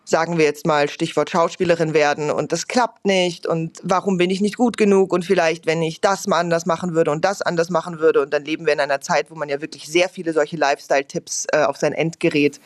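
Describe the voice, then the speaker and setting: A woman's voice: deep voice, fairly even intonation, a little fast, few pauses, no echo, clean studio sound. A woman in her thirties from Vienna, a cabaret artist answering interview questions in thoughtful, self-questioning run-on sentences. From Vienna, Austria. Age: 30s.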